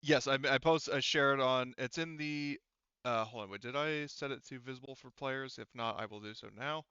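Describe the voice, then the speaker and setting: A man with a smooth, clear voice runs a tabletop game as game master. He explains things casually, rambling and halting as he goes. The sound is dry and a little dull, like voice chat. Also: medium pitch, sharp tone, no room sound.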